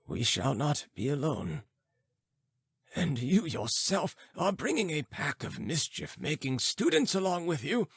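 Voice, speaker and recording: Audiobook role: testy, lofty old male philosopher acted by a man, raised pitch, very animated, formal declamation. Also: vocal fry, slightly rough, dry recording, clean studio sound, heavily acted. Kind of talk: audiobook character dialogue.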